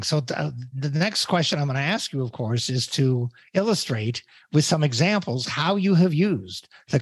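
An older man, a professor hosting a podcast, introducing and questioning a guest in a deliberate, emphatic way. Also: on the high side, dry recording. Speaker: podcast host.

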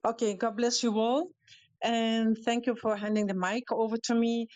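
A woman with a Dutch accent, Dutch her first language, calling in as a guest and speaking haltingly with many fillers and restarts. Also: on the high side, level tone, a little mellow, no echo, dull audio. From Europe. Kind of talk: casual talk on a live audio show. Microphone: calling in remotely.

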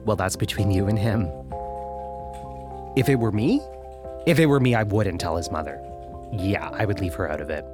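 An actor playing a polite, patient young man who works in phone customer service, tactful and a little halting, in a comedic audio drama. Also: on the low side, music in the background, natural voice. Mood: tactful, awkward. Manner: professionally courteous, tactful, halting on awkward questions.

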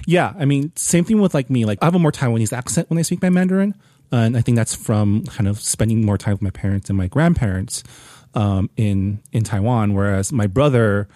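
A man co-hosting a podcast, talking over a film in a relaxed, hesitant way with many pauses. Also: lowish voice, no room sound. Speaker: podcast co-host. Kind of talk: casual film discussion.

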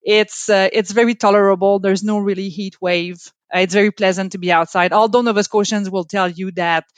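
A woman from Quebec with a Quebec French accent, a native French speaker talking as a park service guest, pausing often with fillers and restarts. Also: medium pitch, fairly even intonation, dry recording. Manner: hesitant, frequent fillers and restarts. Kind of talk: casual interview chat. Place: home, calling in remotely.

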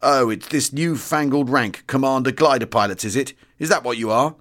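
A man's voice: mid-range voice, a bit bright, natural voice. Man voicing a blustering, scornful senior English army officer. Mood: scornful, belligerent. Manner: blustering, contemptuous, drawn-out emphasis.

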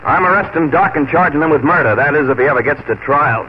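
A man's voice: a little high, quick pace, lightly acted. An actor playing a hard, threatening man of authority in a 1940s radio western, curt and menacing. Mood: accusing. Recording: muffled, noisy 1940s radio broadcast.

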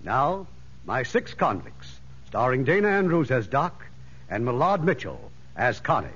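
Husky American male radio host. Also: mid-range voice, wildly swooping pitch, a little slow, fairly bright tone. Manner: formal showman's presentation. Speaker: producer and host. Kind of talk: scripted 1950s radio introduction. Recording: muffled old radio broadcast.

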